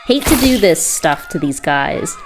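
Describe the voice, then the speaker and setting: A woman's voice: sits low, very animated, a little slow, music in the background, crowd noise, heavily acted. A woman voicing a young female vigilante in a comic dub, wry and compassionate, reflecting in inner narration.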